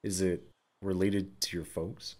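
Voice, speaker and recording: An actor playing a concerned, steady young rancher in an audio drama, measured and low-key. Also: male voice.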